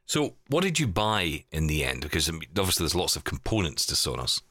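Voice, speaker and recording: A man with a very low voice hosts a casual podcast. His pitch swings a lot as he talks. He asks long, rambling questions that he hedges as he goes, and the sound is dry and close. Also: sing-song, brisk, pushed and bright, no room sound. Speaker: podcast host.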